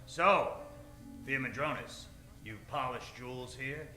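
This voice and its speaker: A man's voice: mid-range voice, unhurried, pushed and bright. A man playing a tough, suspicious private detective in an old-time radio drama, talking in slangy, bullying questions. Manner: hardboiled, slangy interrogation. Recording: roomy sound with music behind.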